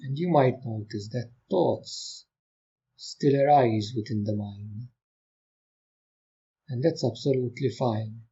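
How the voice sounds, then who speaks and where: A man leading a guided meditation, giving gentle, calm instructions with long unhurried pauses. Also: medium pitch, slightly rough, highs cut off. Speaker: meditation teacher.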